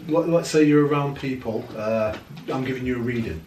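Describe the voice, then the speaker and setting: A man's voice: mid-range voice, brisk, a little mellow, dull audio. A man in his thirties hosts a casual sit-down interview, prompting his guest with eager, leading questions. He sits back from the camera in a living room, so his voice carries a roomy echo with a faint hiss underneath. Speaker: interviewer, paranormal channel host. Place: living room, indoors, on a sofa. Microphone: far camera. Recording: echoey room from a distance.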